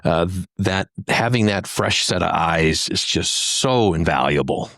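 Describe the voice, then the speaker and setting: A man's voice: sits low, animated, measured pace, vocal fry, a bit bright, no room sound, clean studio sound. A man talking casually as a panelist on a podcast. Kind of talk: casual discussion.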